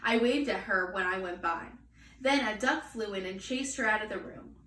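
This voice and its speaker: A woman reading a children's storybook aloud in an expressive style. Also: medium pitch, moderate pace. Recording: echoey room, distant mic, faint noise.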